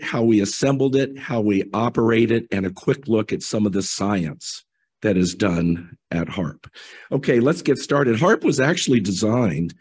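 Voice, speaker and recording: Hoarse man giving an engineering talk. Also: mid-range voice. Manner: relaxed, conversational with fillers. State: hoarse from a head cold. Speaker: electrical engineer presenting. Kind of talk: technical conference talk.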